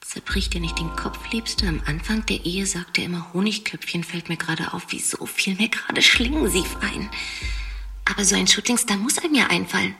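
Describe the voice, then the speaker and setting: A woman's voice: mid-range voice, fairly quick, vocal fry, music in the background, lightly acted. A woman playing a fretful, self-doubting woman. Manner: fretful, self-questioning murmur. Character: insecure, naive woman, anxious and self-doubting. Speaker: radio play actress. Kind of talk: radio drama inner monologue.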